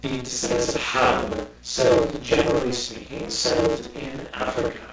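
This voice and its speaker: A man's voice: medium pitch, completely flat delivery, normal speed, gravelly, echoey room, low-quality recording. A man from Texas giving a casual, rambling Bible lesson with many fillers and asides. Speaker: Bible teacher.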